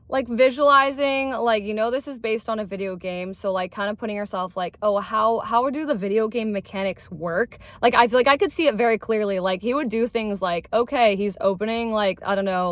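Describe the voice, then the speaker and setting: A young woman talking through a movie review in a loose, rambling way, leaning on "like" constantly. Her voice sits a bit high and sounds dry and close. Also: a little fast, fairly bright tone, no room sound. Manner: rambling, heavy use of "like", laughs easily. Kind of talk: casual chat.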